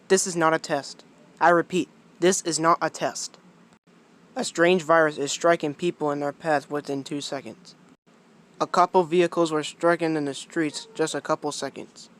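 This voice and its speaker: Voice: medium pitch, fairly even intonation, normal speed, natural voice. An amateur performer playing a stern police emergency announcer in a scripted mock broadcast. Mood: grave.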